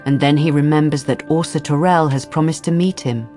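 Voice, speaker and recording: An actress gives a very low female narration for a crime drama, with music clearly underneath. The delivery is sober and measured, with a wry edge. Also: fairly even intonation, natural voice.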